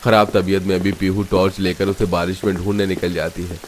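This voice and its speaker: A man's voice: sits low, flat delivery, brisk, soft background music. A man narrating a scripted cartoon family story.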